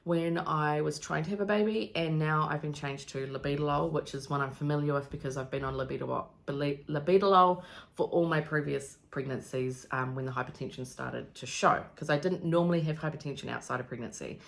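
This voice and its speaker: A woman's voice: deep voice, steady tone, brisk, vocal fry. A woman in her thirties from New Zealand with a New Zealand accent, talking casually to camera in a rambling vlog full of ums and likes. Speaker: vlogger.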